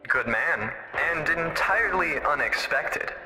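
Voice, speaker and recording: A man's voice: a little high, expressive, a little slow, bright, forceful tone, full character voice. A man playing a nosy small-town radio host who pushes his guest and keeps needling him. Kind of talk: fan audio drama acting. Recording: reverberant with music underneath.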